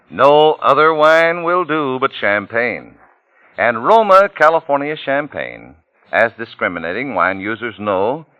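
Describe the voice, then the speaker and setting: A man's voice: mid-range voice, pushed and bright, no echo, lo-fi sound. A man with an American accent reading a commercial in a polished, formal 1940s radio announcer style, on a vintage broadcast recording. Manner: polished, formal sales delivery. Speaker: radio announcer and sponsor spokesman.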